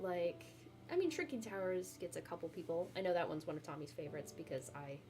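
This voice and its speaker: A woman's voice: lowish voice, a little mellow. A young woman streamer talking casually with her viewers, rambling and self-deprecating, with lots of fillers. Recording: music playing behind.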